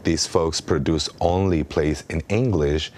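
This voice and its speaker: A man with an extremely low, deep voice and a Mexican Spanish accent answers interview questions. He is a native Spanish speaker in his thirties. He speaks earnestly, with frequent ums and restarts. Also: measured pace, darkish tone, slight background noise. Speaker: artistic director. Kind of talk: interview guest.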